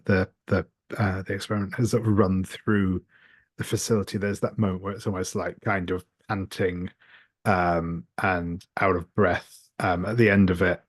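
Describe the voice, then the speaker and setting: A man's voice: deep voice, no echo. A man playing a tabletop roleplaying game, describing the action hesitantly as he searches for words.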